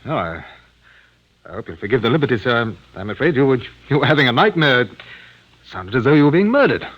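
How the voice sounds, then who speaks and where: An actor playing a polite young medical student, deferential and apologetic, with a hesitant stammer, in vintage radio drama style. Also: male voice, medium pitch, sing-song, fast, highs cut off. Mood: awkward, apologetic. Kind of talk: old-time radio drama acting.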